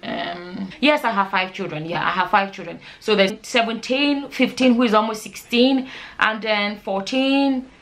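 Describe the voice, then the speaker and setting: A woman's voice: medium pitch, unhurried, fairly bright tone, a little hiss or hum. Chatty, emphatic woman vlogger from Nigeria. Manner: informal, emphatic, repeats words and phrases for stress. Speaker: vlogger, channel host. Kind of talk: casual chatty vlog answering viewer questions.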